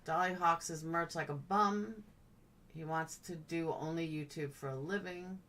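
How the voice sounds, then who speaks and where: A woman's voice: low-pitched, steady tone, unhurried, a bit bright, distant mic, a little hiss or hum. A woman live-streaming in a blunt, chatty, playful way, casually answering comments as they come in.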